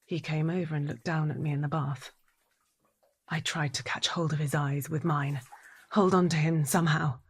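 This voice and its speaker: Haunted, low-voiced woman confessing. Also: steady tone, husky. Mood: hushed, brooding. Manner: intense, deliberate, repeating phrases, slipping into whispers. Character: haunted, unhinged wife narrating a violent confession. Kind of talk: dramatic audio drama monologue.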